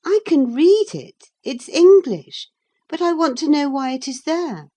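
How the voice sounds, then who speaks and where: A woman voicing a haughty, curious young girl in an audiobook, speaking in a high, incredulous way. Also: lively intonation, a little slow, mellow.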